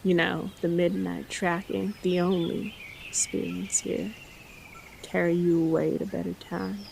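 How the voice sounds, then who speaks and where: A woman playing a mysterious, weary train advertiser in an audio drama, speaking in halting, solemn fragments. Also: lowish voice, flat delivery, gravelly, darkish tone, slight background noise. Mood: solemn, inviting. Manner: halting, one or two words at a time.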